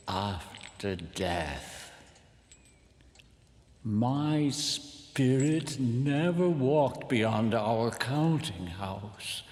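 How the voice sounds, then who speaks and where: A man's voice: mid-range voice, darkish tone. Mournful dead businessman's ghost for audio theatre; crawling, declamatory pace, drenched in echo. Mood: weary, solemn. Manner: slow, solemn, theatrical declamation. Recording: long cavernous echoing reverb.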